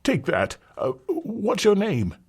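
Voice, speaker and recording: Brief: male narrator as a condescending older father, pitched up, loud. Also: wildly swooping pitch, takes it slowly, breathy edge, heavily acted. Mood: patronizing.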